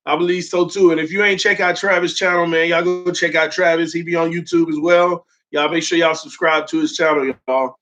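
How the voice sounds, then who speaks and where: A man's voice: on the high side, fairly even intonation, a little fast, few pauses, a bit bright, soft background music. A young man hosting a casual sports fan livestream, still getting over an illness that left his voice sore, talking loosely with lots of "um" fillers and calling his viewers "man" and "y'all.". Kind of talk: casual livestream chat, reading viewer comments. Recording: dull lo-fi stream audio.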